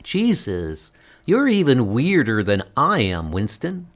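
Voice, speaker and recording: A man's voice: mid-range voice, huge pitch swings, slow pace, a little hiss or hum, pitched above the performer's own voice, full character voice. A man voicing a nervous, awkward young woman in a comic book dub, with hesitant delivery. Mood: puzzled.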